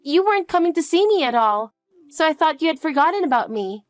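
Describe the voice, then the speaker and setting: A woman's voice: sits high, sing-song, brisk, no echo, pitched above the performer's own voice. A woman voicing a frightened little girl who clings to her mother with pleading questions. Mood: eager, pleading. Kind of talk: dramatic dialogue.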